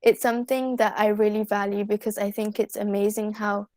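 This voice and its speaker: A woman's voice: mid-range voice, flat delivery, a little fast, darkish tone, dry recording. A teenage girl with a British accent, talking casually as an interview guest in an earnest, polite and articulate way. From United Kingdom. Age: teenager, fifteen. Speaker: guest poet.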